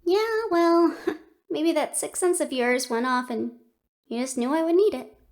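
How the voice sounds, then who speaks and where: Woman acting a high-voiced, playful young fighter. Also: animated, a little slow. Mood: teasing. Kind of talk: audio roleplay acting.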